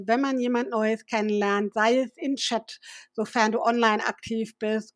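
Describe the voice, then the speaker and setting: Woman, podcast host, somewhat high voice, dry close sound, upbeat and fluent. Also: no room sound. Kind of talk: podcast interview.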